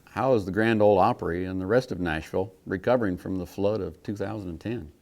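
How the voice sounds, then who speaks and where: A man hosting a podcast interview, delivering prepared questions in a measured way. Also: low voice, gravelly, darkish tone.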